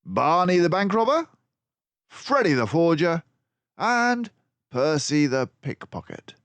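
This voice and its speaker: Storybook mouse sleuth, male reader acting: gentlemanly, formal, pitched well up, slow declamatory delivery. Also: big pitch swings, smooth, no room sound, full character voice. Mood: stern, calm. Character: stern, calm, gentlemanly male mouse detective hero.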